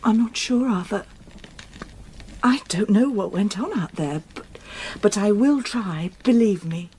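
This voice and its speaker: Actress as a devoted young English fiancée. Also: female voice, medium pitch, lively intonation, measured pace, warm tone, slight background noise, close to an everyday voice, low-quality recording. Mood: gentle. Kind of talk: period radio drama acting.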